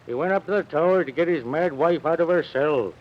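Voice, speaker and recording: A man as grim rustic servant, highish voice, old radio. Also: brisk, natural voice. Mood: grim, excited. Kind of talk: 1940s radio drama acting. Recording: muffled vintage 1940s radio recording.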